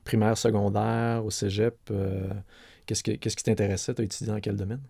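A male interviewer with a gravelly, somewhat low voice. He poses his questions loosely and unhurriedly, padding them with fillers. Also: normal speed. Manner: relaxed, filler-heavy questions. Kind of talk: casual interview.

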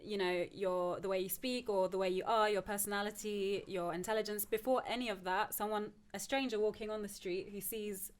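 A woman's voice: medium pitch, steady tone, brisk, few pauses. Reflective young woman from Turkey. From Turkey, moved to England at ten. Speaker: podcast guest, PhD student. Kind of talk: conversational personal discussion.

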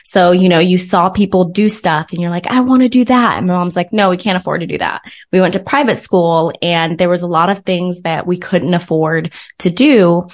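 An American woman with a slightly low-pitched voice, speaking as a guest in an interview. She tells personal stories in a chatty, informal way and slips quoted conversations into them. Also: normal speed, hardly any pauses. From born in Zimbabwe, raised in the United States. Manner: chatty, informal, animated retelling with quoted dialogue. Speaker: interview guest, business coach. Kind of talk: casual personal storytelling in an interview.

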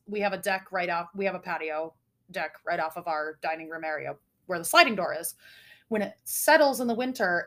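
A young woman podcast co-host with a nasal voice, telling a story in casual chat, swearing often. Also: mid-range voice. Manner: animated, swears often, tells stories breathlessly.

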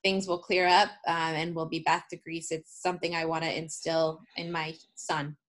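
A woman's voice: deep voice, level tone, moderate pace, a bit bright. A woman in her thirties with an American accent, speaking as a guest in a casual interview, telling things in a chatty, informal way. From United States (Seattle area).

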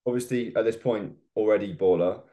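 A man's voice: on the low side, brisk, dark tone. A man hosting an interview, asking questions in a casual, encouraging way. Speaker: podcast host.

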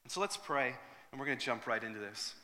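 Male pastor, 30s, earnest and conversational, bright voice, long hall reverb. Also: medium pitch, fast, slight background noise. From Northern Ireland. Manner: earnest, conversational, with frequent restarts and fillers. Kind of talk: sermon with prayer and personal story.

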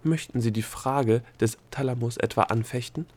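A man's voice: mid-range voice, moderate pace, a little mellow, lightly acted. A man voicing a strict female examiner creature in an audiobook, with a cold, formal and officious manner. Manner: formal, solemn, stern, polite. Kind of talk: audiobook character voice.

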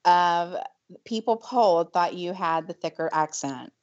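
A woman's voice: on the low side, unhurried, lo-fi sound. A woman with a mild Southern US accent co-hosts a casual podcast. She talks in a loose, chatty way with easy laughs and plenty of fillers. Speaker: podcast co-host. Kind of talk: casual chat.

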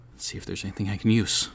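Sneaky investigator, lowish voice, casual muttering, audio drama. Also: male voice, vocal fry, background noise. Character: shady male investigator, sneaky, awkward when caught. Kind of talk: audio drama log entry.

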